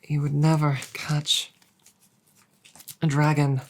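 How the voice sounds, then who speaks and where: Dragon girlfriend for intimate audio roleplay, female performer: proud yet bashful, formal diction, breathy and husky, unhurried, hesitant. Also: sits low, little pitch movement, heavily acted. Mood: musing. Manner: formal wording, hesitant, halting, soft sighs.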